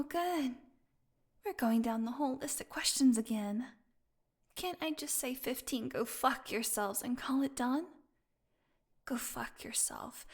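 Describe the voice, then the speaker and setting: A woman playing a snarky, defiant, starved vampire prisoner in an audio roleplay, with a sarcastic, taunting drawl. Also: a little high. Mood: irritated, defiant.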